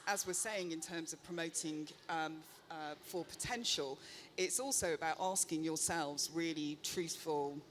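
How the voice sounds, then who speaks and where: A woman's voice: fairly low pitch, a bit bright. A woman executive speaking on a conference panel, chatty and self-deprecating, with frequent ums. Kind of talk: live panel discussion. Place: conference stage. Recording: faint noise, live event ambience.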